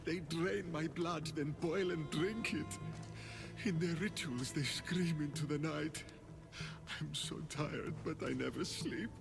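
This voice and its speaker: A man's voice: a little high, slow pace, gravelly, over music. An actor playing a weary, frightened captive fisherman with a dreamer's love of poetry, in a video game. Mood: exhausted, pleading. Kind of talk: video game character acting.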